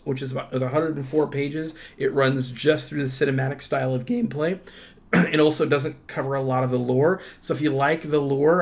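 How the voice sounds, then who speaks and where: Male presenter for casual unboxing commentary, average-pitched, enthusiastic and informal, with a halting delivery. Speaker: channel presenter.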